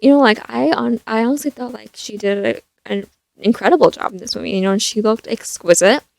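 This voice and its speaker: Soft-voiced young woman, dry close sound. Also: fairly high pitch, fairly quick, vocal fry, no echo. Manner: rambling, many fillers and restarts. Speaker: vlogger reviewing a film. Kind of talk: casual chatty review. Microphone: stand mic.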